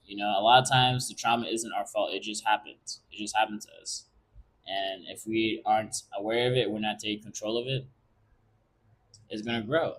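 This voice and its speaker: A man with a fairly low voice gives a motivational talk as a podcast host. He addresses the listener directly in short phrases. His delivery is earnest and encouraging. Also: steady tone, normal speed, fairly bright tone, low-quality recording. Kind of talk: motivational self-help talk.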